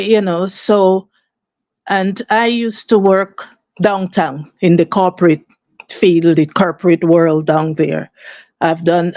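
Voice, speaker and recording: A woman's voice: mid-range voice, darkish tone, dry recording, muffled recording. An older woman from Guyana, telling her story earnestly as a guest and often saying "you know" and "eh". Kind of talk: conversational storytelling.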